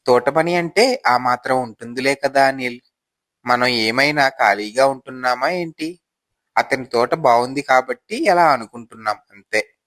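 A man acts out an envious village gardener in a storybook tale. He is a brooding husband with a mid-pitched voice, recorded dry and close. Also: normal speed, fairly bright tone, dry recording, close to an everyday voice. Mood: resentful, dismissive. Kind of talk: moral storybook acting.

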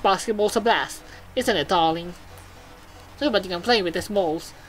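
A woman's voice: medium pitch, normal speed, soft background music, natural voice, rough recording. Woman reading as a flamboyant robot TV presenter. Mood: showy, gushing. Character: flamboyant showbiz robot TV news presenter, gushing and vain.

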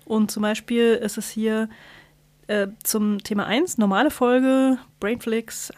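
Female podcast co-host: mid-range voice, conversational, halting explainer, recorded dry. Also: mid-range voice, a little mellow, dry recording. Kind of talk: casual podcast chat.

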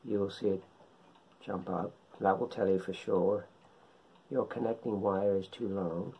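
A middle-aged man explains things informally in a lowish, rough-edged voice. He pauses often, breaking sentences into short phrases. The home recording is a little dull and lo-fi. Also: fairly even intonation. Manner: unhurried, halting delivery in short phrases. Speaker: amateur radio hobbyist presenter. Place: indoors, living room at home.

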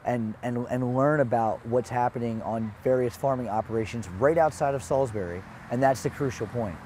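Mellow man, casual market manager. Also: medium pitch, brisk, slight background noise. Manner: casual, lots of "you know". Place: outdoor farmers market.

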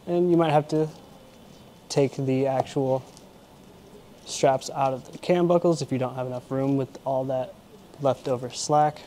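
A man's voice: mid-range voice, steady tone, a little slow, pauses often, mellow, a little hiss or hum. A young man in his twenties casually explaining a kayak carrier install step by step while working around a vehicle in an indoor garage. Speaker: product installer and presenter. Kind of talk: product installation demo.

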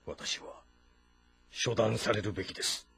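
An actor playing a guilt-ridden, formal military strategist in a dramatic game, restrained and solemn. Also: male voice, lowish voice, fairly even intonation, unhurried, gravelly, a bit bright. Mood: grave, despairing. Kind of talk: Japanese audio drama game acting. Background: music.

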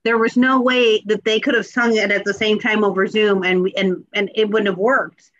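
A woman's voice: medium pitch, steady tone, normal speed, a bit bright, no room sound. Young woman hosting a casual zoom chat, close dry sound, bit dull. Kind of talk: casual panel discussion. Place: indoors, on a video call. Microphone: computer mic on a video call. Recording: dull, dry video-call audio.

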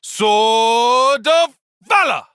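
A man's voice: extremely high pitch, lively intonation, very slow, sharp tone, clean tone, no echo, raised voice, clean studio sound, exaggerated voice. An actor voicing an earnest, calculating and proud young male tactician in a video game, speaking formally and precisely with intensity. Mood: determined. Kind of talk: video game battle voice lines.

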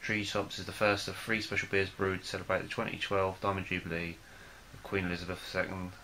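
Low, flat-voiced young man reviewing beer. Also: fast, a little hiss or hum. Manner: relaxed, hesitant with many fillers and repeats. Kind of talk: casual beer tasting review. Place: small room in front of a plain wall.

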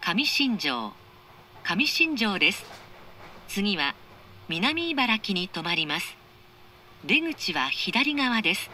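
Slow, bright woman train announcer. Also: mid-range voice, sing-song. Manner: formal, measured, carefully articulated. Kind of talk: scripted recorded train announcement. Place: recorded inside a train carriage. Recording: onboard train speaker with running noise.

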